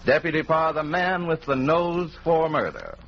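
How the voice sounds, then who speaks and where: A man's voice: on the high side, animated, normal speed, slight background noise. A man announcing a radio series from a script in 1940s broadcast style. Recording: dull 1940s radio recording. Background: music.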